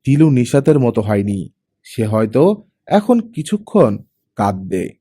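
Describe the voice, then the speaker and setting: A man's voice: medium pitch, big pitch swings, measured pace, dark tone, breathy edge. A man narrating a scripted story as an audiobook reader, acting out the dialogue as he goes.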